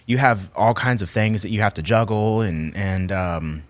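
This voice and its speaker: A young man hosts a podcast in a loose, casual chat. His voice sits fairly low, and he stammers and repeats words, breaking into laughs now and then. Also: normal speed, dry recording. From Texas. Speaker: podcast host.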